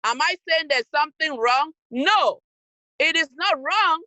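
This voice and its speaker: Bright, emphatic woman. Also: sits high, expressive, measured pace, no echo. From Cameroon. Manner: emphatic, scolding, direct to listeners. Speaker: youth program speaker. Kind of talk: motivational talk to young people. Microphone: video call.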